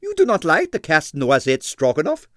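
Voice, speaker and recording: A man's voice: a little high, huge pitch swings, a little fast, pushed and bright, no room sound, studio quality, voice pushed up, heavily acted. A man voicing an affable, boastful foreign ballet impresario who talks expansively in broken English, for an audiobook. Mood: astounded, coaxing. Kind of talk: audiobook character voice.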